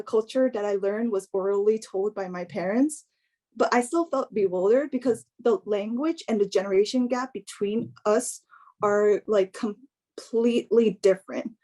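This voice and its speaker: A young woman giving an informal personal talk in a hesitant, halting way, often filling in with "like". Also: a little high, dark tone. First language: English. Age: young adult.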